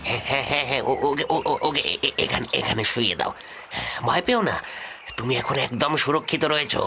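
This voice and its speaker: A man voicing a loyal royal minister in a cartoon, deferential and expressive, with a creaky voice. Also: medium pitch, brisk, noisy background, lightly acted. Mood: flustered, soothing. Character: loyal, attentive male royal minister advising his king. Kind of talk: dramatic cartoon dubbing.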